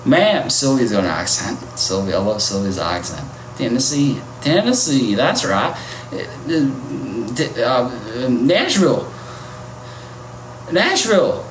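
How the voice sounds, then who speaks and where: A man's voice: mid-range voice, sing-song, takes it slowly. A man on a casual livestream, chatting and reacting to his viewers' comments. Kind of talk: casual live-stream chat. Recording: echoey with loud ambient noise.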